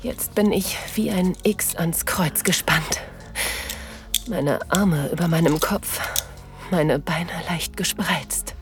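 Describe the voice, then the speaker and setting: An actress playing a submissive, aroused woman, narrating intimately in a breathy, whispery voice in an erotic audio drama. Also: on the low side. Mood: breathless, sensual. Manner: slow, sensual delivery. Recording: music behind the voice.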